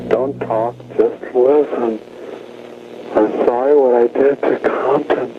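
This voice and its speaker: Whiny weepy man, crying, pleading and rambling, tinny phone-line sound, loud hiss behind. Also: medium pitch, steady tone, slow. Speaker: anonymous caller to emergency line. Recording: tinny old phone-line recording with noise.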